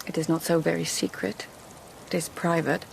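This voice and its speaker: A woman's voice: low voice, level tone, normal speed, gravelly, slight background noise. A woman playing a shrewd, witty lady-in-waiting at an imperial court in a radio drama, speaking in a poised, articulate, formal way. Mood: guarded, composed. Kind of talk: 2000s radio drama acting.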